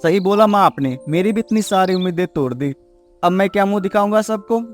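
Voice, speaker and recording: A man's voice: high-pitched, fairly quick, soft background music, natural voice. A man playing a cold, angry, greedy young husband who talks dismissively in a moral-story cartoon. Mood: cold, indignant. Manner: scornful, dismissive. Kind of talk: acted dialogue in Hindi moral-story cartoon.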